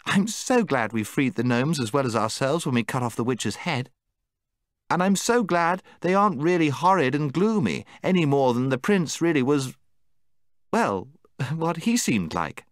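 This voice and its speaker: An eager, cheerful young girl, voiced by a man reading a story. He lifts his pitch for her, and her lines bounce up and down. The sound is dry and close. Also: big pitch swings, normal speed, no room sound. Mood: delighted.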